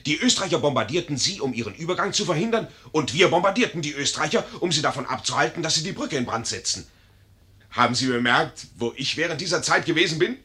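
An actor in a 1950s radio play as a boastful, dim-witted young cavalry lieutenant with a pompous manner. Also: male voice, on the high side, animated, fast, sharp tone. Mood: smugly boastful. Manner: pompous, self-important.

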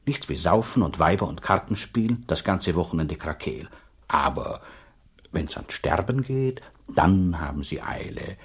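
An actor playing a middle-aged, gruff and suspicious village priest in a radio drama, husky, blunt and talkative. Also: male voice, sits low, heavily acted.

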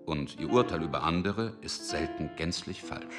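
Deep-voiced guy narrating a radio drama, really varied intonation, music behind. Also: animated, normal speed.

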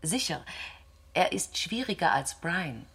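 A woman playing an ambitious young astronomer under questioning in a science fiction radio drama, candid and introspective, speaking haltingly. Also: on the low side, very animated, gravelly, a bit bright, slightly rough, full character voice. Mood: candid, reflective.

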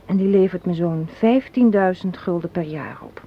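A woman's voice: fairly low pitch, lively intonation, moderate pace. Muffled recording of a sardonic young woman. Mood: scornful, firm. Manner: argumentative, sardonic, articulate. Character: assertive, cynical young woman arguing against a marriage proposal. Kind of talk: radio play acting. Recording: muffled, hissy old radio play recording.

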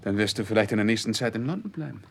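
Low-voiced, confident advising friend. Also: male voice, lively intonation, rapid-fire, creaky, slight background noise. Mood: advising, friendly. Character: advising, confident male friend of a young gentleman. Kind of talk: 1960s German radio drama acting.

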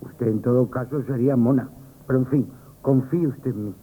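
A man's voice: medium pitch, normal speed, narrow, tinny audio, close to an everyday voice. An actor playing a humble, naive shop boy who earnestly vows loyalty, in a 1970s radio play. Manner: earnest, rambling, comic. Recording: old radio broadcast with faint hiss.

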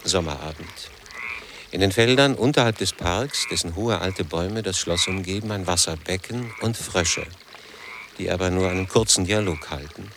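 A man playing a quiet radio drama narrator who evokes a place in sparse phrases with pauses. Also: deep voice. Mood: calm, evocative. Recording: clear background noise, outdoor-like ambience.

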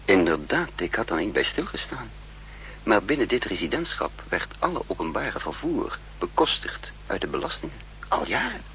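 An actor playing a decisive, practical spy leader in a 1960s radio play, giving orders and explanations in a commanding manner. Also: male voice, low voice, big pitch swings, normal speed, pushed and bright, exaggerated voice. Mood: explanatory. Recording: noisy 1960s radio drama recording.

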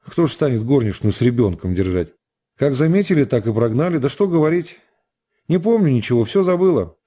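A man's voice: mid-range voice, animated, a little fast, mellow, natural voice. A man voicing a worn, bitter young woman prisoner in a literary radio reading, with a curt, dry, dismissive manner. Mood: bitter, resigned.

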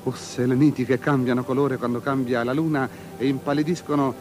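A man's voice: mid-range voice, fairly even intonation, a little fast, a little mellow, soft background music, close to an everyday voice. An actor playing an anxious, superstitious king in an avant-garde radio drama, declaiming theatrically and sliding into whispers, on a noisy recording. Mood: coaxing, lavish. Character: anxious, superstitious king pleading and bargaining with a princess.

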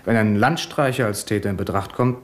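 An actor playing a deferential, chatty police assistant in a 1970s radio crime drama, matter-of-fact and good-humoured. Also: male voice, fairly low pitch, a little fast, natural voice. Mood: businesslike.

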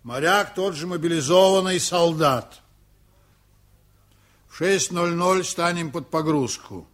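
An actor in a Soviet radio play as a steady, evasive Estonian sea captain, speaking deliberately and with authority. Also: male voice, fairly high pitch. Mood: firm, commanding. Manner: measured, deliberate, hesitating before answers. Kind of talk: Soviet radio drama acting.

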